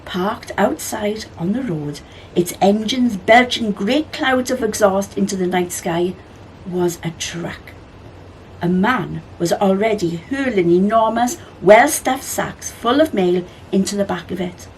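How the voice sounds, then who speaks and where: A woman reading a children's story aloud as a library storyteller, with expressive narration. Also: medium pitch, a little slow, a little hiss or hum, poor audio.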